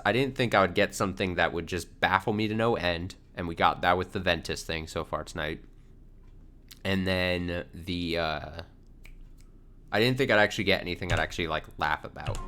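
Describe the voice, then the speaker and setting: A man's voice: low voice, normal speed, pushed and bright. A man doing casual, sweary live commentary on game cutscenes, reading dialogue aloud and reacting with incredulity. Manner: sweary, incredulous, often laughing. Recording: game music playing behind. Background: video game cutscene music.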